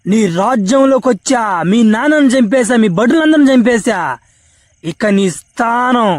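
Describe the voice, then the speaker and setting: A man's voice: very high voice, normal speed, dry recording. A man voicing a gloating, lecherous warlord villain in a comedy cartoon, taunting and crude. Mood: gloating menace. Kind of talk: comic cartoon dubbing.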